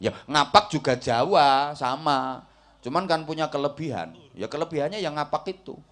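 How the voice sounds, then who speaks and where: A man's voice: fairly high pitch, expressive, normal speed, a bit bright. Javanese-accented man preaching with folksy humour. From Java, Indonesia. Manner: jokey, folksy, rhetorical, checks listeners understand. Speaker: Islamic preacher giving a talk to a congregation. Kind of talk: humorous sermon, mixing Indonesian and Javanese.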